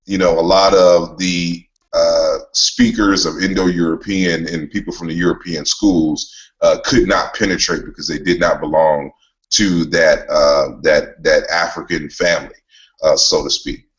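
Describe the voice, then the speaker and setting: A very low-pitched man talking through his research at length, steady and explanatory. He leans on fillers like "uh" and "you know" as he builds his points. The audio is a bit dull and muffled. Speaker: author and guest. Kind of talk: relaxed interview talk.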